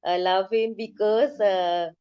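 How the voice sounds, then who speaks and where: A woman's voice: medium pitch, fairly even intonation, takes it slowly, smooth, dry recording, highs cut off. A woman in her thirties with a Sri Lankan accent, speaking conversationally as an advocate on a panel. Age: 30s.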